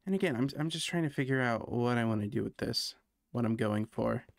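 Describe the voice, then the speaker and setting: A man's voice: mid-range voice, a little fast, gravelly, no echo, clean studio sound. A man from Canada chatting casually while streaming a building game, thinking aloud and poking fun at himself. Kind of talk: casual gameplay commentary.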